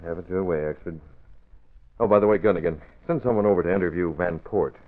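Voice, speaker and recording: An actor playing a level-headed, skeptical newspaper chief with a measured, commanding manner in an old-time radio drama. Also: male voice, low-pitched, close to an everyday voice. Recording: tinny old radio recording.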